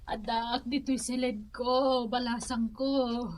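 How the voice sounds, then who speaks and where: A woman's voice: on the high side, little pitch movement, slow, a little mellow, a little hiss or hum. An actress with an Ilocano accent playing an ailing, grateful elderly mother in a radio drama, speaking weakly and plaintively.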